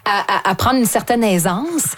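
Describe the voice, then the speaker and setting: A woman with a Québécois accent, a performer answering in a radio interview, lively and halting, with many restarts and fillers. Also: medium pitch, a little hiss or hum. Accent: Québécois French.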